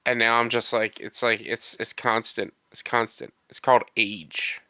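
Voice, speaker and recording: Congested podcast co-host man, bright crisp tone, rambles and defends himself. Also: medium pitch, flat delivery, normal speed, dry recording. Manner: rambling, defensive, restarts often. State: congested from a cold. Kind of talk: casual chat.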